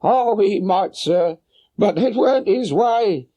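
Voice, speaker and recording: Here is a stout working-class London woman from an audiobook story, pitched very high. She is chatty, deferential and flustered, with plain-spoken speech and a lively swoop to her voice. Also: takes it slowly, full character voice. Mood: worried, puzzled. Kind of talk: audiobook character voice.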